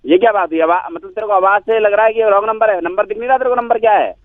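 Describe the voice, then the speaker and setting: A man's voice: high-pitched, racing, no room sound. A man answering a phone call, growing irritated and blunt with the caller. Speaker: prank call target. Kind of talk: heated phone argument.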